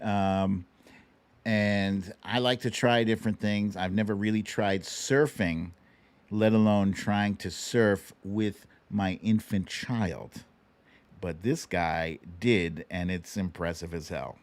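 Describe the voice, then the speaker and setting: A man hosting a livestream, giving casual, slangy and animated commentary on clips, with some swearing. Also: fairly low pitch, slow, no echo. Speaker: livestream show host.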